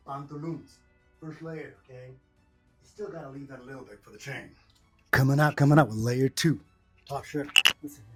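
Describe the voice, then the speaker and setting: A man with an average-pitched voice chats casually to camera as he gets dressed at home. His talk is slangy and jokey, broken into short bursts with punchy exclamations and catchphrases. Faint music plays behind him. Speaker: vlogger demonstrating his cycling rain gear. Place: indoors at home.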